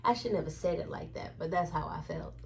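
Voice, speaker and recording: A woman's voice: sits low, a little fast, vocal fry, a little hiss or hum. A woman telling a story in a casual chat, acting out the quoted dialogue as she goes. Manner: animated retelling with acted-out quotes.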